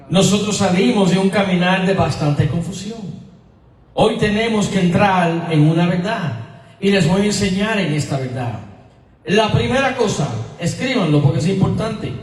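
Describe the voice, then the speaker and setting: A man with an American accent, speaking Spanish as a second language, preaching a sermon as pastor in a slow, emphatic teaching manner that repeats key words. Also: sits high. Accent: American English. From United States. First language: English. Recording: echoey hall, voice from far off.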